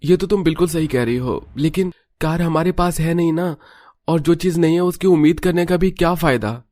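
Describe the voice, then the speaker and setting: A man's voice: fairly high pitch, brisk, dark tone, no room sound, studio quality, natural voice. A man voicing a resigned, reasonable young husband in a cartoon family story. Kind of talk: Hindi cartoon story dialogue.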